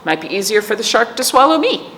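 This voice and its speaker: Expressive woman reading storytime in an echoey room. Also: a little high, fast. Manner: expressive, sing-song reading with questions to young listeners. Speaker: children's librarian, storytime host. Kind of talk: read-aloud storytime for young children. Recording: echoey reverberant room, clear background noise.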